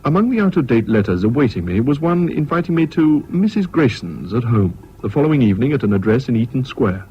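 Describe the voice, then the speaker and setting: Male actor as reflective English narrator, medium pitch, lively inflection, measured scripted delivery, radio drama. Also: natural voice. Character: reflective English gentleman narrating his wartime memories. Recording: dull old radio recording, light noise.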